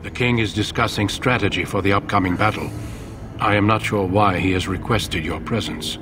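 An actor playing a grave, resolute middle-aged warrior commander in a video game, speaking in a formal, measured way. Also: male voice, fairly low pitch, natural voice. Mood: calm, formal. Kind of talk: video game dramatic acting. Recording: game audio with music behind. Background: music.

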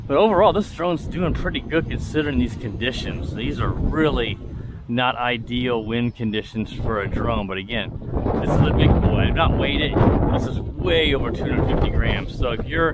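Man, casual rambling drone commentary, outdoors, mid pitch, heavy wind noise. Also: moderate pace. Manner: chatty, rambling, many fillers and restarts. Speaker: drone reviewer. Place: outdoors in a windy field. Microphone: mic with furry windscreen. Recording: loud wind noise in mic.

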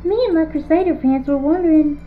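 A woman's voice: sits high, a little fast, phone-quality sound, lightly acted. A woman voicing a young pony filly, folksy and cheerfully sinister, for a fan horror audio drama. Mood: eager.

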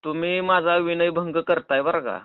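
A man's voice: on the high side, steady tone, normal speed, smooth, no room sound, close to an everyday voice. Man acting as a stubborn drunk on tinny phone. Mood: argumentative. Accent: rural Maharashtrian Marathi. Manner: rambling, stubborn, cheeky. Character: argumentative drunk man pestering a woman on the phone. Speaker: prank caller. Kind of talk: prank phone call. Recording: narrow tinny phone-call recording.

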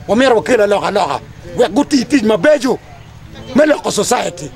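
A man's voice: sits high, sing-song, a little fast, creaky, darkish tone. A man in his thirties with a Ugandan accent, giving an impassioned, declamatory address as a community leader. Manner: emphatic, declamatory, repetitive phrasing. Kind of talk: impassioned public address to camera. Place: outdoors under trees among a gathering.

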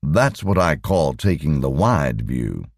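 A man voicing a gruff, sceptical male computer engineer in an audiobook, wry and grumbling. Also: a bass voice, very animated, slow, no room sound, studio quality, heavily acted. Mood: wry, pondering.

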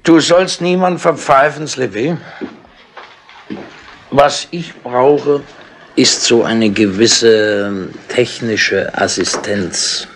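An actor playing a shrewd, patronising older London chief inspector in a wry, colloquial way, in 1960s radio drama style. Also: male voice, mid-range voice, extremely slow. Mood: wry, businesslike. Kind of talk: 1960s German radio crime drama acting. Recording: noisy 1960s radio tape with clicks.

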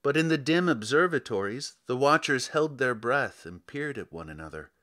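A man narrating literary fiction in a theatrical, deliberate way, drawing out phrases with pauses between them. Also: mid-range voice, animated, sharp tone. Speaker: narrator reading a short story.

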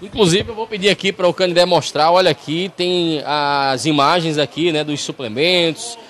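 A man's voice: fairly high pitch, fairly even intonation, normal speed, a bit bright. A man in his thirties with a Northeast Brazilian accent, reporting live for radio from a pharmacy on a handheld mic, upbeat and chatty. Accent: Northeast Brazilian Portuguese. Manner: upbeat, chatty, addresses listeners directly. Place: large bright pharmacy, indoors. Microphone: handheld station mic.

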